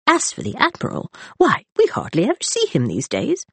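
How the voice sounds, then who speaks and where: A woman's voice: a little high, wildly swooping pitch, moderate pace, creaky, a bit bright, breathy edge, dry recording, pitched above the performer's own voice, exaggerated voice. A woman voicing a plump, chatty Regency society matron with a fussy, gushing manner.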